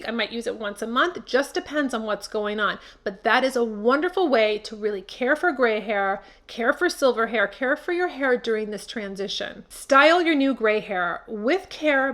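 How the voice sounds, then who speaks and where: A woman's voice: fairly high pitch, fairly bright tone. A woman hosting a beauty channel, speaking to camera and giving tips in an emphatic, encouraging way with repeated key words.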